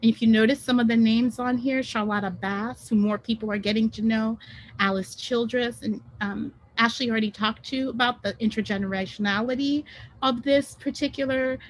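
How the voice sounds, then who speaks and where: A woman's voice: a little high, fairly even intonation, normal speed, low-quality recording. A woman whose first language is French, speaking as a guest on an online panel webinar in a conversational way with many ums and self-corrections, over a video call.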